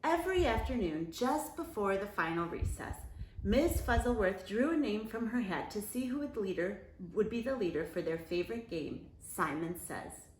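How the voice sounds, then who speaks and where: A woman reading a children's picture book aloud in a deliberate, expressive storyteller's manner. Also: medium pitch, echoey room, slight background noise, rough recording. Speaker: story time reader.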